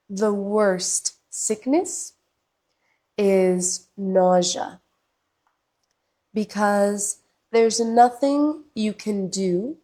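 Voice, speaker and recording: Very slow-speaking woman teacher, congested. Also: medium pitch, warm tone. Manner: very slow, short phrases with long pauses. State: sick with a cough and congestion. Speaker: podcast host and English teacher. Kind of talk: slow, deliberate English lesson monologue.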